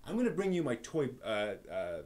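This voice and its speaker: A man hosting a live stream, telling things in a casual, animated, emphatic storytelling way. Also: medium pitch, normal speed. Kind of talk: casual enthusiastic monologue to stream viewers.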